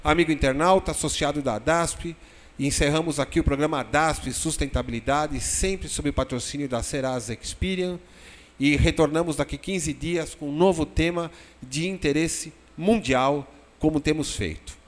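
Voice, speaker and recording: A man hosts an interview in a wordy, effusive style, with formal courtesies and halting phrasing. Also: on the high side. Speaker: host of a web TV programme. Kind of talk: conversational interview hosting. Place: glass studio inside a shopping mall. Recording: long reverb of a hall-like room.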